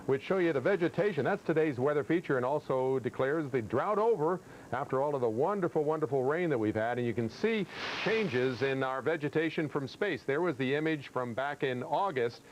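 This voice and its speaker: American TV weatherman, slightly high voice. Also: animated, fairly quick, a little hiss or hum, poor audio. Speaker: TV weather forecaster. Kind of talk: ad-libbed live weather report with commentary on satellite maps.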